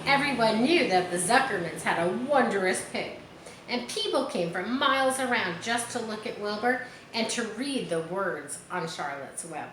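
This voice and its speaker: A woman's voice: mid-range voice, lively intonation, moderate pace, a bit bright. A woman reading a children's book aloud, dropping in informal asides. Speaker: storybook reader. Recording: roomy echo, faint background noise.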